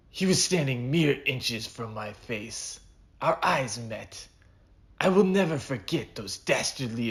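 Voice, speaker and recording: A man's voice: mid-range voice, a bit bright. A man reading his own short story as a dramatic, suspenseful narration with theatrical delivery.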